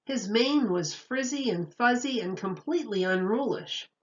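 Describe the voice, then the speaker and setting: A woman teacher reading a children's story aloud, expressively, with deliberate, emphatic phrasing. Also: fairly high pitch, lively intonation, muffled recording.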